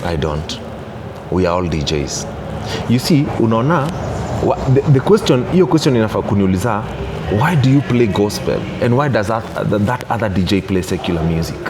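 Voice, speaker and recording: A man's voice: lowish voice, expressive, moderate pace, noisy background. A Kenyan man in his thirties, a gospel DJ giving an interview, talking emphatically and often checking that he is understood. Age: 30s. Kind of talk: casual interview, mixing English and Swahili.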